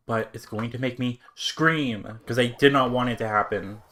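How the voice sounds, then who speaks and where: A man's voice: medium pitch, steady tone, normal speed, a bit bright. A man livestreaming a game with casual, slangy, chatty commentary.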